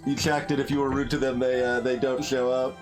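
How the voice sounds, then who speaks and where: A man's voice: mid-range voice, level tone, fairly quick, music in the background. A man giving casual commentary on a game playthrough, musing and thinking aloud as he goes.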